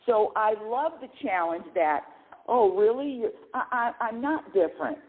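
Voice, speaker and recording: A middle-aged woman hosts a spiritual talk show, her voice swinging widely in pitch as she talks. She often restarts and repeats words and laughs between thoughts. The sound is narrow and phone-like. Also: expressive, moderate pace. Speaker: radio show host. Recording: phone-like lo-fi line.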